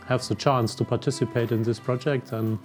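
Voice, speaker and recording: A man's voice: mid-range voice, steady tone, fairly quick. German man in his 60s, veteran diver, hesitant non-native English, faint music behind. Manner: hesitant, many fillers, slightly non-native grammar. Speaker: wreck diver and underwater photographer. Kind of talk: interview answers.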